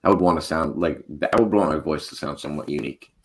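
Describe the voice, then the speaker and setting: Very deep-voiced American man. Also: fast talker. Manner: rambling, chatty, laughs easily. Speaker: podcast co-host. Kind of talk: casual chat.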